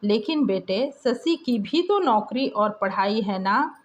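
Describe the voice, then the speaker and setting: Woman voicing an anxious, pleading mother, high-pitched. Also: lively intonation, takes it slowly, dull audio, a little hiss or hum. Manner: worried, faltering, pleading. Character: distressed middle-aged mother, anxious, near tears, pleading.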